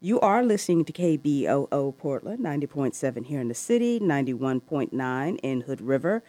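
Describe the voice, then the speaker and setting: A woman hosting a call-in radio talk show, asking long, thoughtful questions with soft laughs. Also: rumbling low, very animated, a little fast, no echo. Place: radio studio.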